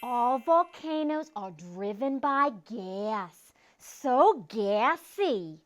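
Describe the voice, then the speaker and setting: A high, very animated schoolteacher voice, put on by a woman for a classroom skit. She talks down to young pupils in a theatrical, exaggerated way. Her delivery is drawn out and slow, as if every child must follow. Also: noisy background, exaggerated voice. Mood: playful. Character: chirpy schoolteacher addressing her young class, dramatically expressive. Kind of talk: classroom read-aloud skit.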